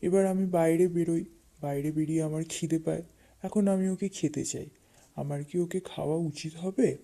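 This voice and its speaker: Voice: mid-range voice, fairly even intonation, moderate pace, warm tone, lightly acted. An actor voicing an ungrateful, hungry tiger in a children's cartoon fable, with a blunt, insistent way of talking. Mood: explaining. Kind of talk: children's animated fable dialogue.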